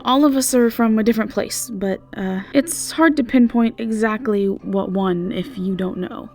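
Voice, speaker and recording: A woman's voice: a little high, moderate pace, darkish tone, soft background music. A woman plays a friendly young innkeeper for a fantasy audio drama. Her voice is lifted and bubbly, and she talks in eager, hesitant stammers with an apologetic manner. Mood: flustered, apologetic.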